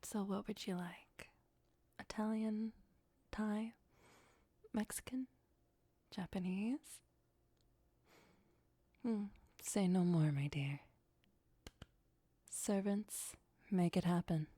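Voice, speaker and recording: Woman acting a softly whispering, possessive vampire girlfriend. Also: mid-range voice, slow pace, pauses often, no echo. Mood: teasing, affectionate, then delighted, indulgent. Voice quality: soft, breathy, often whispered. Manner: sweet, teasing, softly possessive. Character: possessive, doting, ancient female vampire girlfriend. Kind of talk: ASMR roleplay.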